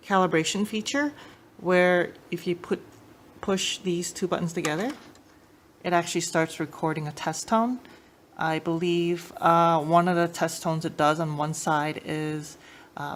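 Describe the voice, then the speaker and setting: A woman giving a casual how-to demonstration as a host, explaining informally and a little hesitantly. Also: fairly low pitch. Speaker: host of a tape deck tech channel. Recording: clear background noise, room ambience.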